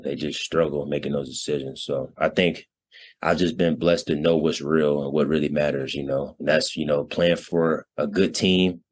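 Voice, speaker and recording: A young man in his early twenties with an extremely low-pitched voice talks as an interview guest. He is a college athlete, and his answers come out casual and conversational, with informal slang and a frequent "you know.". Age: early 20s.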